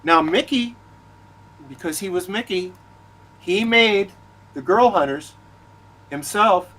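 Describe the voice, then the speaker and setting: A man who is a guest author in a casual discussion, speaking haltingly and often repeating words as he gathers his thoughts. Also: sits high, sharp tone, poor audio. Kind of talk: casual discussion of film and writing.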